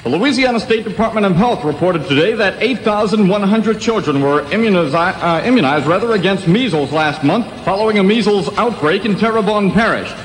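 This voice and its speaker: A man's voice: high voice, animated, brisk, few pauses. A man reading a formal scripted news bulletin as a radio anchor on 1960s AM radio. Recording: 1960s AM radio, roomy long reverb.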